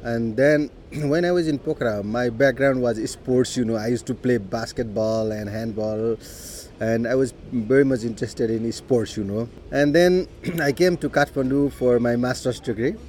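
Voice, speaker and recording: A middle-aged man with a Nepali accent, telling his life story in an unhurried way, with frequent "you know" and throat clearing. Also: medium pitch, a little mellow, a little hiss or hum. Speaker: interviewee. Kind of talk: personal life story told in conversation.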